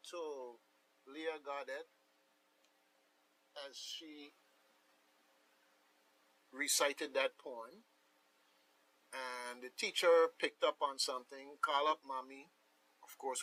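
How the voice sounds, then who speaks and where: A man's voice: medium pitch, bright, forceful tone, rough recording. A man hosting a radio talk show in a conversational, folksy way, speaking directly to the listeners. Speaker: radio host.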